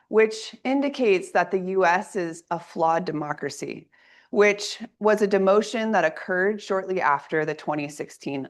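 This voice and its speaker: Raspy-voiced woman giving an academic talk. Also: mid-range voice. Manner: clear, organized lecturing with audience asides. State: raspy voice from a coming cold. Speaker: assistant professor giving a workshop talk. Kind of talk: academic presentation. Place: lecture room.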